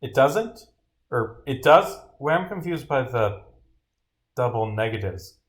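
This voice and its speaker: A man's voice: medium pitch, sing-song, measured pace. A man acts out a scatterbrained video game detective. He rambles with cocky confidence, gets sidetracked easily and sounds muddled by his own case. He keeps pushing on regardless. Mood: confused.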